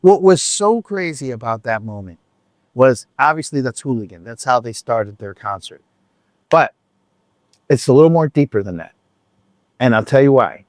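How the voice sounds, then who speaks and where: A man's voice: mid-range voice, animated, moderate pace, long pauses, no echo. A man telling a concert story in a casual, rambling vlog chat, full of restarts and asides. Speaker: vlogger.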